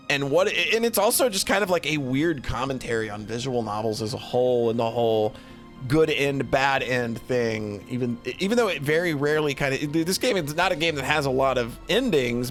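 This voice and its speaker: A man giving casual, rambling livestream commentary, thinking aloud in an informal, halting way. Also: medium pitch, fairly bright tone. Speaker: streamer hosting a tier list of games.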